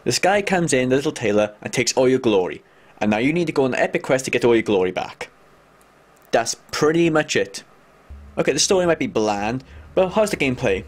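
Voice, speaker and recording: A man's voice: mid-range voice, animated, fairly quick. A young man giving an exasperated, sweary, informal comedic game review. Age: young adult. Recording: noisy home room recording.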